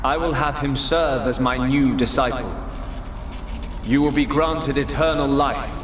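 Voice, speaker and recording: A man voicing an arrogant creator god in a game cutscene, pompous and condescending in measured speech. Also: mid-range voice, fairly even intonation, background noise, lightly acted. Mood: lofty, contemptuous. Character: arrogant godlike creator, male, serene and contemptuous. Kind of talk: dramatic game cutscene acting. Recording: echoing voice under loud score.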